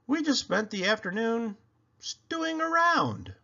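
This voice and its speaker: A man voicing an excitable, fun-loving uncle from a picture book in a playful, exclamatory way. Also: extremely high pitch, measured pace, gravelly, dull audio, voice pushed up, exaggerated voice. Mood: sly, playful. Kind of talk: animated children's read-aloud character voice.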